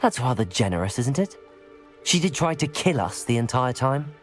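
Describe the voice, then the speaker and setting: Very low-voiced, composed witch student. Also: big pitch swings, moderate pace. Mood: dry, calm. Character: composed, supportive teenage witch student companion. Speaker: video game voice actor. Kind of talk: cinematic game dialogue acting. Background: background music.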